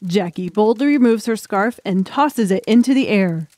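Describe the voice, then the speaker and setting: A woman's voice: mid-range voice, animated, moderate pace, darkish tone, dry recording. Dry, close-sounding woman narrator. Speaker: audiobook narrator. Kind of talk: scripted dramatic narration.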